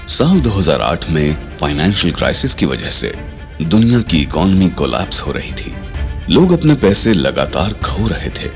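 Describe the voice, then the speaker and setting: Male narrator, deep and highly expressive, dramatic storytelling with light music behind. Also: gravelly. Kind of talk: dramatic scripted backstory narration.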